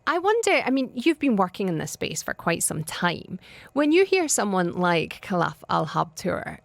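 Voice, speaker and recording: A woman's voice: on the low side, very animated, moderate pace, gravelly, no room sound. A woman hosting a radio talk show in a studio, chatting easily with her guest. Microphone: studio microphone.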